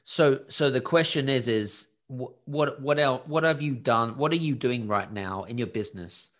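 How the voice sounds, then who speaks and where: A man's voice: mid-range voice, moderate pace, fairly bright tone. A man hosting a coaching session, upbeat, asking direct questions. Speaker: business coach and podcast host. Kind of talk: coaching conversation.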